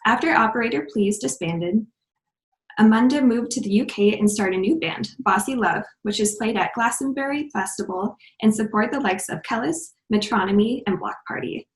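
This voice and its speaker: A woman's voice: on the high side, moderate pace. A woman hosting a podcast, reading a guest introduction and chatting in an upbeat way. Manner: upbeat, laughs often. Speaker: podcast host. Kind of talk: reading a guest introduction, then casual interview chat. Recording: roomy echo.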